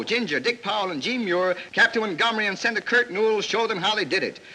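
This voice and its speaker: A bright, high-voiced American man narrates a 1930s newsreel. His delivery swings up and down with jaunty, wisecracking showmanship and theatrical emphasis. It has the sound of a vintage film soundtrack. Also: poor audio.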